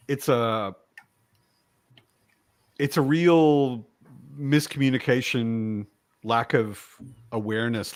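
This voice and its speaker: A man's voice: medium pitch, dry recording. Man in a casual book chat, dry sound. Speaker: book club discussion participant.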